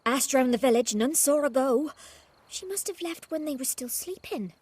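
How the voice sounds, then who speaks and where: A woman playing a worried, exasperated young peasant villager in a video game, her voice husky. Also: high voice, animated, moderate pace, a little mellow, dry recording.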